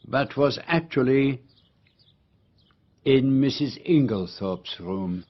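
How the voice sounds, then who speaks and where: An actor playing a methodical, patient detective in a radio drama, explaining deliberately with dramatic emphasis and pauses. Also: male voice, medium pitch, expressive, muffled recording. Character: methodical, theatrical, patient male detective unravelling a murder.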